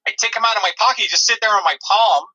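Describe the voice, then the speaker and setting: Male comic podcaster: piercingly bright tone, aggrieved, stumbling, off-the-cuff banter delivery. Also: high-pitched, slightly rough, muffled recording. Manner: defensive, halting, aggrieved. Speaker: comedy podcast co-host.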